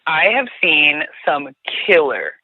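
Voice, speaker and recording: A woman's voice: sits low, big pitch swings, slow, dry recording. Woman guest, New Jersey accent, rambling and upbeat, recorded over a tinny phone line. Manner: chatty, restarts often, says "like" a lot. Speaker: guest, tour guide. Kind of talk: casual podcast chat.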